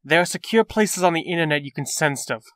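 A bright, fairly high male voice with a dry, close sound, acted by a man. He plays a scheming, wisecracking rogue in a comic dub. He comes across brash, slangy and impatient. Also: fairly quick, no echo, lightly acted.

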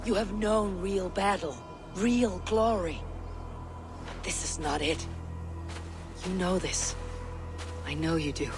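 An actress playing a resolute female viking warrior who speaks firmly and earnestly. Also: fairly low pitch. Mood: pleading. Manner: firm, earnest, measured. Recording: game audio with music behind.

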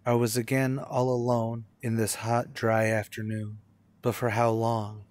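A man's voice: medium pitch, monotone, unhurried, a little mellow, close to an everyday voice. A male actor plays a motorist haunted on the open road in an old-time suspense radio play. His mid-range voice is intense and dramatic. He grows obsessive and stumbles over words when rattled. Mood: haunted, brooding. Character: haunted cross-country motorist, man, anxious, increasingly obsessive. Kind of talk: old-time radio suspense drama acting, first-person narration and dialogue.

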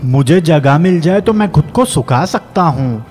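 A woman's voice: deep voice, expressive, darkish tone, faint music behind, voice pushed down. A woman voicing a sad, timid young male duckling, plaintive and rejected, in a children's storybook tale. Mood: dejected, timid. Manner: plaintive, forlorn.

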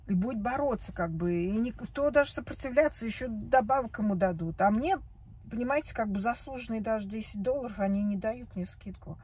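A woman's voice: a little high, fairly quick, darkish tone, breathy edge. A woman in her forties, upset and tearful, venting about a bad day in a vlog recorded in her parked car. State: tearful after crying. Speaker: vlogger. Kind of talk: emotional confessional vlog. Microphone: phone camera at arm's length.

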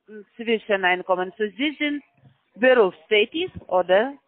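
A woman's voice: medium pitch, lively intonation, measured pace. A woman working as a call center agent on a phone call, talking in broken grammar with constant hesitant fillers. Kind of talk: phone sales pitch. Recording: tinny dry phone line.

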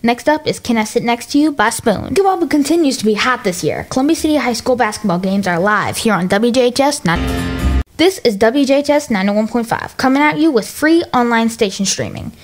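An American woman with a fairly high voice hosts a radio show. She delivers scripted links and segments in the style of a station presenter. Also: lively intonation, fairly quick, faint music behind. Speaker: radio host. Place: radio studio.

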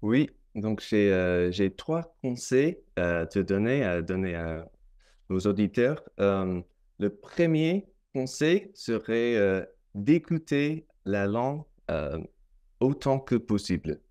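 A young Canadian man, a native English speaker, talking in careful learned French on a video call, with many hesitant pauses. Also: on the low side, sing-song, a little mellow, clean tone. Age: young adult. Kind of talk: casual conversation in learned French. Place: small room at home. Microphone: laptop webcam mic on video call.

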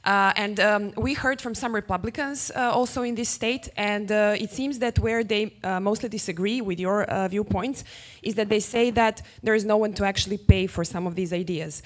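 A woman's voice: mid-range voice, normal speed. Polite woman from Serbia asking a question. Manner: polite, careful, hesitant with fillers. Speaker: audience member asking a question. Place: large indoor hall.

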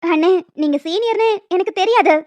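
Very high-pitched naive college girl, acted by a woman. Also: normal speed, darkish tone, no echo. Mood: innocent. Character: naive young female college fresher, polite but protesting. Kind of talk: cartoon story dialogue.

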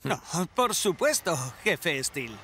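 A man's voice: on the high side, big pitch swings, takes it slowly, noisy background, full character voice. A man voicing an earnest, anxious, bumbling young firefighter in a children's cartoon, with a Castilian Spanish accent. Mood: eager. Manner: earnest, dithering. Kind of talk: animated children's series dubbing. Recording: loud music under the voice.

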